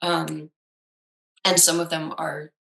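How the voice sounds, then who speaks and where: Woman, danish native speaker, chatty, lots of ums and restarts, bright voice. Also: low voice, flat delivery, takes it slowly, vocal fry. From Denmark. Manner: chatty, with many fillers and restarts. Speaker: psychologist. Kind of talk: casual chat.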